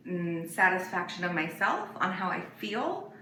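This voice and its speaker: A woman as a podcast guest, talking casually in a frank, measured, self-reflective way. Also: on the low side, big pitch swings, sharp tone. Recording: echoey room, distant mic, faint noise.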